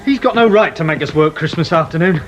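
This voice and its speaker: Griping high-voiced copper played by a man. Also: lively intonation, quick pace, highs cut off, music in the background, lightly acted. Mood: aggrieved. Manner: griping, loud. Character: grumbling, cheeky male police detective. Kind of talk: radio drama acting.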